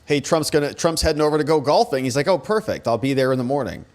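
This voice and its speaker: Casual, sarcastic man commentator, fairly high voice. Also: quick pace. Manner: sarcastic, colloquial. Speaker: host commentator. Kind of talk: casual commentary reacting to press conference clips.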